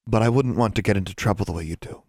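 A man voicing a shy, caring schoolgirl witch in a story, soft and earnest. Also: on the low side, racing, husky, no room sound, studio quality. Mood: gentle.

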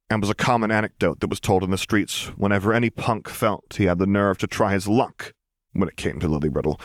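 Man, low pitch, scripted story narration, measured, dramatic, dry recording. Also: no echo. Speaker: audiobook narrator.

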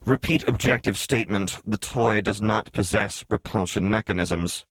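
A man's voice: fairly low pitch, normal speed, breathy edge, dry recording, poor audio. A man voicing a smug, sardonic little droid in a story, in a clipped, formal, robotic way. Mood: curt. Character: smug, sardonic little astromech droid, read as translated text.

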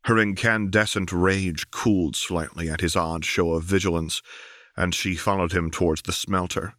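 A man's voice: deep voice, fairly even intonation, normal speed, a bit bright, no room sound, studio quality. A man reading dramatic fiction as an audiobook narrator.

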